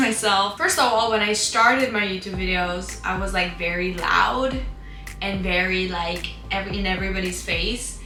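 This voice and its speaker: Chatty Armenian-accented woman, bright voice. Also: mid-range voice, echoey room. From Iran. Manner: chatty, frequent "like" fillers, candid. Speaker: vlogger. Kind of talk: casual get-to-know-me chat while doing makeup. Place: at home, getting ready.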